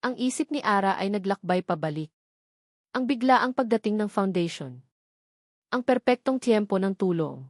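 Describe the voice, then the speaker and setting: A woman with a Filipino accent narrating a scripted love story in a measured, expressive, dramatic way. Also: mid-range voice, no room sound, studio quality.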